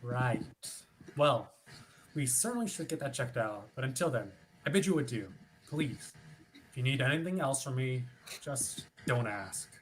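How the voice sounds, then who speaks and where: A woman's voice: very deep voice, lively intonation, moderate pace. A woman acting a curt, formal authority figure in a staged play reading, clipped and stiffly polite. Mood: coolly dismissive. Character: curt, formal authority figure named Watch, impatient and evasive.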